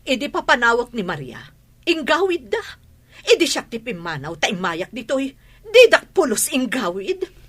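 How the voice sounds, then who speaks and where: A woman's voice: on the high side, sing-song, moderate pace, fairly bright tone. An actress playing a haughty, hot-tempered mother in a Filipino radio drama, with an indignant, scornful manner and a Filipino accent. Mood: sulky, aggrieved.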